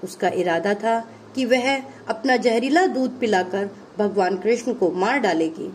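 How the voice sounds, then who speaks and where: Slow, deliberate woman storyteller. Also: mid-range voice, slight background noise. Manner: deliberate, phrase-by-phrase delivery. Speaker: puppet show narrator. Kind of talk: scripted storytelling narration for children.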